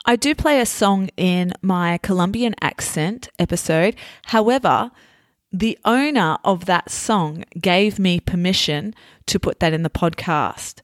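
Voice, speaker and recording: A woman hosting a podcast for learners, explaining words slowly, patiently and simply. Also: on the low side, no echo, studio quality. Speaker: podcast host for English learners. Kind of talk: slow, clear explanatory teaching talk.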